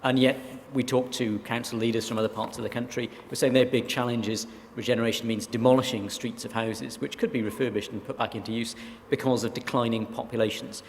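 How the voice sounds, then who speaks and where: A man from South Wales, a council leader, giving measured, reasoned answers on a panel. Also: medium pitch, level tone, creaky. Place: conference hall. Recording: reverberant hall, faint background noise.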